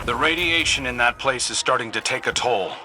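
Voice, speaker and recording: An actor playing a serious military commander, earnest and businesslike, guiding a soldier through a video game mission. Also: male voice, medium pitch, brisk, very noisy, lightly acted. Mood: serious, concerned. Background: music.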